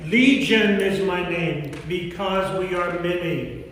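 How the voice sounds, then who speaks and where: Man playing tormented demon horde, high and echoing. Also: slow, fairly bright tone, slight background noise. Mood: menacing. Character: tormented legion of unclean spirits possessing a man. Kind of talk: church staged scripture reading. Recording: distant, very echoey church hall.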